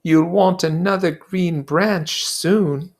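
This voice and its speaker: A man's voice: fairly high pitch, takes it slowly, pitched above the performer's own voice. A man voicing an anxious, earnest schoolboy in a plaintive, insistent way for a storybook reading. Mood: resigned. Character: anxious, earnest, brainy schoolboy, deeply troubled.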